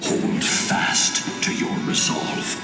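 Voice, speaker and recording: A man playing a solemn ancient guardian in a video game, grave and stately. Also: extremely slow. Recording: cavernous echo under loud music.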